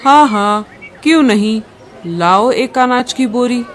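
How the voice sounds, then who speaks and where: A woman's voice: on the high side, lively intonation, takes it slowly, some chatter behind. A woman voicing an adult male bird with a businesslike air in a children's cartoon. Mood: agreeable. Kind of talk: animated children's story dialogue.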